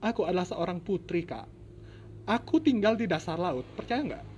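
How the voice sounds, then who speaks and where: A man's voice: sits high, sing-song, normal speed, darkish tone, a little hiss or hum. A man voicing a young dragon princess in a story, grateful, tearful and coy, with a stammering, gushing manner. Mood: coy, inviting.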